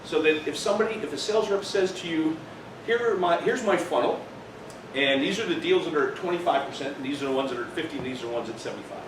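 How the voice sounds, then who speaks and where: A man's voice: fairly high pitch, expressive, fast. A man giving a seminar as a business trainer, explaining emphatically and posing rhetorical questions. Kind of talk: live seminar presentation. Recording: roomy, distant mic, clearly noisy.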